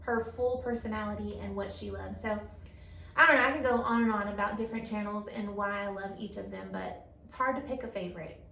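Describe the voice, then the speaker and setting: A woman's voice: mid-range voice, fairly even intonation, brisk, vocal fry, sharp tone. A woman in her thirties from North Carolina, chatting enthusiastically as host. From Fayetteville, North Carolina. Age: 30s. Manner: enthusiastic, effusive. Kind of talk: casual chat. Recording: echoey room, mic at a distance, faint noise.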